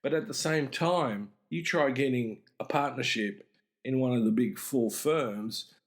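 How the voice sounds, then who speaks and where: A middle-aged man hosts an explanatory talk on psychology, speaking deliberately and with emphasis. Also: mid-range voice. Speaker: podcast host and mental health speaker.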